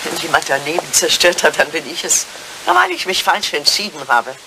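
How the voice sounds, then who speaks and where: A woman's voice: low voice, very animated, brisk. An older woman acting in a radio play as a resolute, candid lady turning down an aging suitor. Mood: exasperated, candid. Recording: hissy, noisy old radio play recording.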